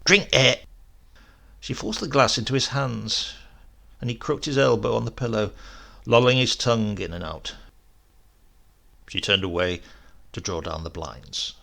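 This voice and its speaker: A man narrating a literary short story in a measured, descriptive manner. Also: lowish voice, gravelly, fairly bright tone. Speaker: audiobook narrator.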